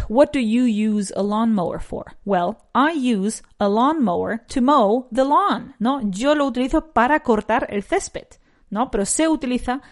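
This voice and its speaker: A woman with a nasal voice teaching an English lesson. She repeats each sentence slowly and switches between English and Spanish. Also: mid-range voice, a little mellow. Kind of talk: bilingual language lesson.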